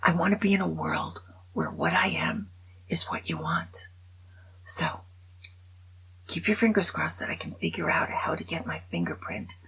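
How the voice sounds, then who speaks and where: Woman in her seventies, low raspy voice, gravelly, casual rambling vlog chat. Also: big pitch swings, normal speed, long pauses, fairly bright tone, in a whisper, rough recording. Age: 70s. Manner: chatty, rambling, self-mocking humor. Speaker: vlogger. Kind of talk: casual monologue to camera.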